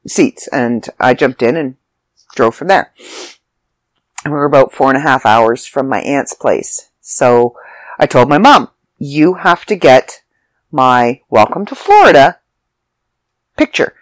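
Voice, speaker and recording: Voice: medium pitch, dry recording. Congested, chatty storyteller. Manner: chatty, rambling, self-interrupting. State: congested, raspy from allergies. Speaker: vlogger. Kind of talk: casual storytelling monologue. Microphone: close mic, audio only.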